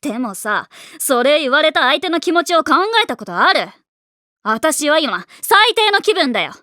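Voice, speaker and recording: A hot-tempered high school tomboy for an anime. The voice is high and bright, with slangy, boyish phrasing. It is blunt and defensive, recorded very dry. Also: female voice, big pitch swings, moderate pace, no echo, full character voice. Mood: hurt, angry. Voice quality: rough, slangy boyish speech. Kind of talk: anime voice acting.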